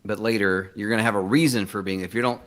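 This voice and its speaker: A man's voice: fairly low pitch, steady tone, quick pace, a bit bright. A man talking casually as a screenwriter guest in an interview, rambling and self-deprecating as he tells stories.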